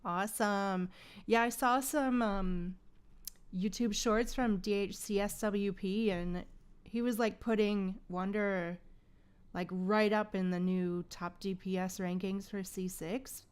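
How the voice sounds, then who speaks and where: Clear-voiced woman livestreamer, casual and chatty. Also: medium pitch, steady tone, slow pace. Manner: chatty, replies to viewers, gamer slang. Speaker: game livestreamer.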